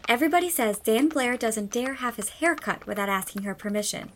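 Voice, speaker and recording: Storybook teenage girl, played by a woman: frank gossip, knowing and chatty, highish pitch, faint noise behind. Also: animated, brisk, a bit bright. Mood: gossipy, knowing. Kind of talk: audiobook character dialogue.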